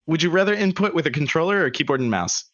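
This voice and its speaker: A playful man running a casual interview on a livestream, his voice sitting on the high side. His pitch bounces around a lot as he fires off quick questions and laughs often. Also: lively intonation, fairly bright tone, muffled recording. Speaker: interview host.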